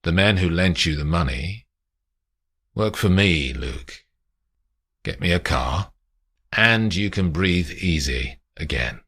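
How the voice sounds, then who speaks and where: A man as a low-voiced calm crime boss. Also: slow pace, long pauses, slightly rough, heavily acted. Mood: calm menace. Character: hunted male crime boss, calm and menacing.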